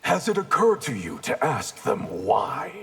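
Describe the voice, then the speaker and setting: An actor playing a cold, disdainful outlaw ninja clan leader in a video game, with a gravelly, threatening voice. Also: male voice, medium pitch, wildly swooping pitch, a little slow, soft background music. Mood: cold menace. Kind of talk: video game fight banter. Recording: long reverb, clearly noisy background.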